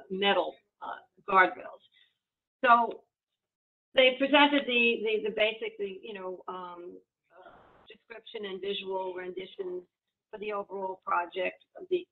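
A woman reporting a committee's findings at a public board meeting, pausing often with fillers and restarts. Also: medium pitch, creaky. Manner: halting, many fillers and restarts. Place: home, video call. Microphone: video call. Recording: tinny phone-like video call audio.

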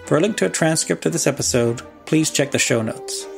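A man's voice: on the high side, a little fast, creaky. A man hosting a podcast, reading a scripted show promo and credits. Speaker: podcast host. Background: music.

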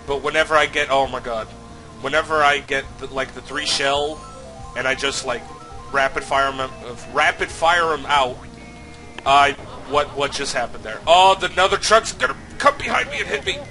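A man commentating his own racing game playthrough, slightly sick and nasal, swearing often with sudden loud outbursts. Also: medium pitch, normal speed, faint music behind. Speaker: let's play host. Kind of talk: casual gameplay commentary.

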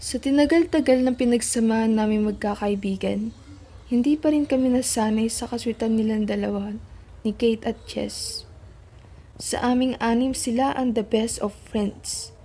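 A woman playing a quiet, observant teenage girl who narrates a scripted radio drama about her friends. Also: fairly high pitch, normal speed, a little hiss or hum, natural voice. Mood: reflective. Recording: music under the voice. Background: background music.

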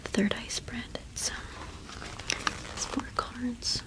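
A woman's voice: fairly high pitch, flat delivery, poor audio. Woman playing a whispering, kindly cottage witch. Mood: gentle, explanatory. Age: young adult. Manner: soft, unhurried, gently reassuring. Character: kind, welcoming cottage witch shopkeeper serving a traveler. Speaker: ASMR performer. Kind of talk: whispered ASMR roleplay.

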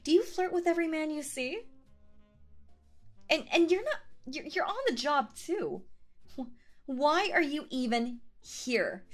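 Woman acting lively, possessive, flustered yandere girlfriend. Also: a little high. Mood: jealous, angry. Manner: flustered, stammering, nervous giggles, swinging to jealous. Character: sweet, possessive, obsessive young woman on a date. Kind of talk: roleplay audio acting, intimate one-sided dialogue.

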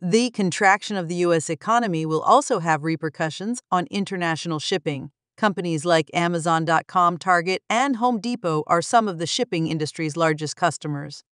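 A woman delivering scripted documentary narration in an even, explanatory newsreader manner, recorded close as a voice-over. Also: lowish voice, clean tone, no echo. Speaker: narrator of a news channel.

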